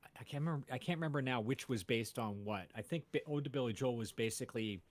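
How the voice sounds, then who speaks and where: A man's voice: medium pitch, fast. A man, a podcast host, chatting casually about music with many fillers and restarts.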